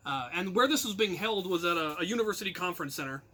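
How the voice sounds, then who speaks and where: Storyteller, male, American. Slightly high, crisp-edged bright voice; informal anecdotes delivered with animation and self-mockery. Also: animated, fast. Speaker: storyteller and channel host.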